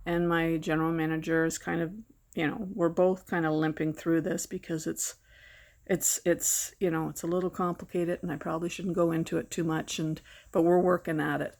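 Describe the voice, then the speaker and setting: Hoarse woman in her sixties rambling. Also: low-pitched, flat delivery, moderate pace, a little mellow. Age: 60s. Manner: rambling, self-deprecating, chuckles often. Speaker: vlogger. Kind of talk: casual off-camera chat. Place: at home. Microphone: camera mic, speaking from behind the camera.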